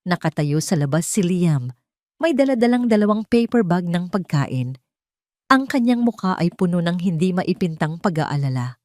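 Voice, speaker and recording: A woman with a Filipino accent giving a dramatic scripted story narration in solemn, deliberate phrases. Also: fairly low pitch, expressive, darkish tone, dry recording, clean studio sound.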